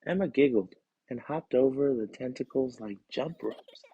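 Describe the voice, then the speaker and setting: Guy reading a kids bedtime book, husky mellow voice, slow and expressive. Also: medium pitch, lo-fi sound. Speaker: children's story reader. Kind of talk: expressive storybook narration, first person.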